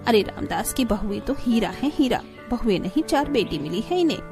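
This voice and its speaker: A woman voicing expressive village women in a cartoon family story, with emphatic delivery. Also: on the high side, a little fast, over music. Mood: indignant, scolding. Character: village women, spirited young and scolding daughters-in-law and mothers-in-law. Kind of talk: Hindi cartoon story acting.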